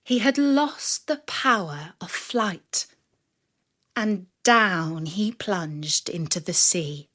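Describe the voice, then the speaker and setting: Female storyteller for children's podcast. Unhurried, expressive narration with wide, lively intonation. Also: fairly low pitch. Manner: expressive, dramatic delivery.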